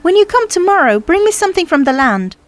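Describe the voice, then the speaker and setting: A woman's voice: extremely high pitch, very animated, fast talker, soft background music, voice pushed up. A woman voicing a tiny, proud and affectionate girl from the sea in a storybook, chatty and childlike. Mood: curious, delighted. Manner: chatty, childlike, matter-of-fact boasting. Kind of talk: storybook character voice.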